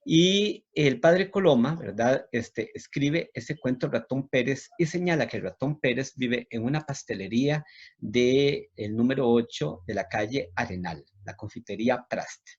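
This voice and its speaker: A man's voice: mid-range voice, expressive, measured pace, husky, dull audio. A Costa Rican man with a didactic manner, speaking as a university lecturer presenting on literature. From Costa Rica.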